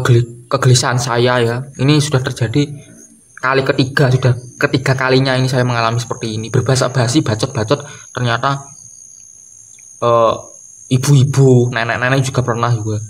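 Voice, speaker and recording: A man, giving a casual comedic rant in a halting, repetitive, exasperated way. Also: mid-range voice, steady tone. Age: early 20s. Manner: exasperated, halting, repeats phrases, slips in English swearing. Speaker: video creator. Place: doorway at home by a wooden door. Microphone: camera or phone close in front.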